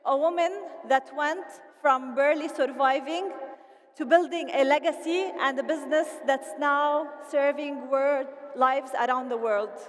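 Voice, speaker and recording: Lebanese woman, high voice, stage speaker in a big echoing hall; animated, playful. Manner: animated and playful, rallying the crowd. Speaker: convention speaker. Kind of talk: motivational stage speech. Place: large convention hall. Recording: reverberant hall, faint ambience.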